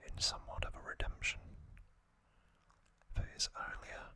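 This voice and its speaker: A man whispering an ASMR trivia recap in a breathy, unvoiced voice, deliberately, in short phrases with pauses. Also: bright, forceful tone. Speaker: ASMR host.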